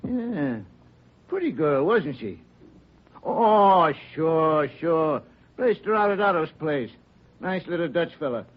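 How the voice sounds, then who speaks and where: An actor playing a brash, evasive union steward in a blustery, colloquial 1950s radio drama style. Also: male voice, on the high side, big pitch swings, slow, exaggerated voice. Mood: brash, offhand. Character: brash, evasive union steward, fast-talking city man. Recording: dull, lo-fi old radio recording.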